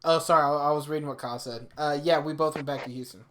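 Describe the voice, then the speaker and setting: A man's voice: on the high side, steady tone, fast, noisy background. Teasing young man on a podcast. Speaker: podcast co-host. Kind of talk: casual chat.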